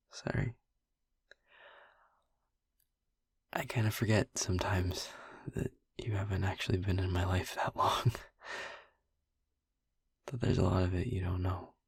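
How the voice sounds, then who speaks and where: Casting: male actor as brooding, tender vampire boyfriend for intimate audio drama; very breathy, gravelly, hesitant, close to a whisper. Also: sits low, level tone, dry recording, full character voice. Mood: apologetic, tender. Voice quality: breathy, husky, often near a whisper. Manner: halting, soft, hesitant, with long pauses.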